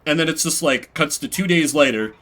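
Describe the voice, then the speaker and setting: A man's voice: a little high, a little fast. A man streaming games, chatting casually with viewers in a rambling, story-telling way. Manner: rambling, animated retelling with acted-out quotes. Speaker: game streamer. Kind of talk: casual livestream chat with viewers.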